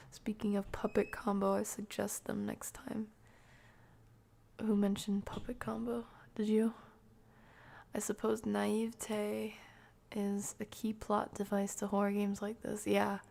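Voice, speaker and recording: A young woman streaming a horror game, chatting to viewers in a soft, breathy half-whisper. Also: medium pitch, level tone, slow, pauses often. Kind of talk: casual let's-play chat.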